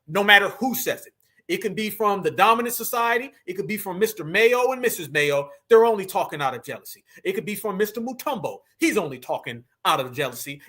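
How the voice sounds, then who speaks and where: A man with a high, bright, forceful voice delivers reaction commentary. He talks straight to his viewers, fired up and hammering his points home. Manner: fired-up, addresses audience directly as "fam" and "y'all". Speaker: online commentator.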